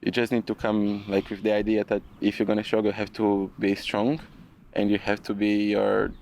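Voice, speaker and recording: Brazilian man with a low, gravelly voice. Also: moderate pace, a little hiss or hum, rough recording. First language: Portuguese. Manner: relaxed, frequent "you know" asides. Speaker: guest. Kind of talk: casual podcast conversation.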